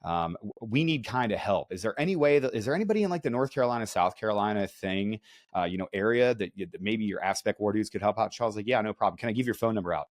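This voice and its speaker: Deep-voiced, blunt American man. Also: lively intonation, fast, no echo, studio quality. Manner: blunt, emphatic, profane. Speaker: podcast co-host, military special operations veteran. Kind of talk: casual podcast chat, storytelling.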